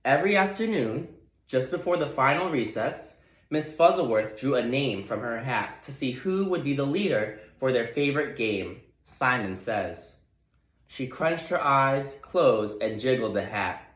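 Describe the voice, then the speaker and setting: Male teacher, storybook read-aloud: very animated pitch, expressive pauses, echoey room. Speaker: teacher reading aloud to students. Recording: roomy, echoey sound.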